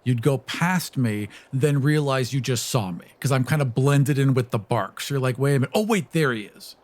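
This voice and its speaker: A man's voice: mid-range voice, normal speed. A man playing a tabletop roleplaying game, casually narrating what his character does. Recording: music playing behind the voice. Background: background music.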